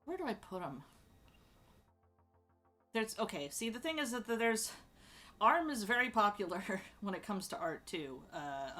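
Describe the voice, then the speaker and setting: A woman chatting casually as she ranks characters, rambling with lots of fillers and restarts and sudden bursts of excitement. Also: mid-range voice. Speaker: streamer ranking show characters.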